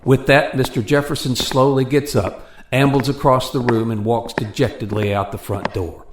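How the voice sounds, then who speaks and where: With a roomy echo and some music, a man speaks as a radio host and narrator. His voice sits at a middle pitch, suited to dramatic storytelling and talk.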